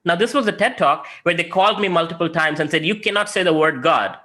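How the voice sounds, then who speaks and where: Bright-voiced man telling stories in a sermon. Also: a little high, fast. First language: not English. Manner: storytelling, animated, rising to emphatic peaks. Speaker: guest speaker.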